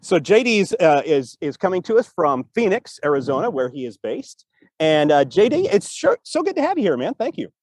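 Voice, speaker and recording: A man with a fairly high, animated voice co-hosts a casual show. He rambles enthusiastically and doubles back on his phrases, in a dry, unechoed sound. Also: normal speed, no room sound. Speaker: podcast co-host and storytelling teacher. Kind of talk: casual chat. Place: outdoors in a cemetery.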